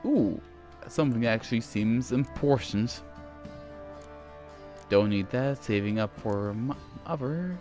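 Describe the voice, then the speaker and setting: A man's voice: medium pitch, expressive, a little slow, pauses often, a little mellow. A man with a mild British-style accent, chatting in a rambling, self-conscious way as he plays and reads aloud. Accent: mild British-style accent picked up from TV. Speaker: let's play gamer. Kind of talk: casual gameplay commentary and reading aloud. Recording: faint game music behind.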